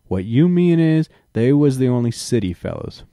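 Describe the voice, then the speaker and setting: A man voicing a calm, unhurried county sheriff with a drawl who speaks in a placating way. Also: mid-range voice, no room sound, clean studio sound, voice pushed up.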